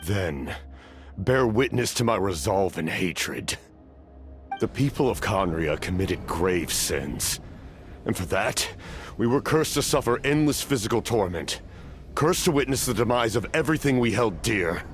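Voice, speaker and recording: A grim immortal knight captain, really low voice, swelling dramatic delivery, video game cutscene. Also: male voice, very animated, measured pace, soft background music. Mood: defiant, bitter. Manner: grave, formal, declamatory.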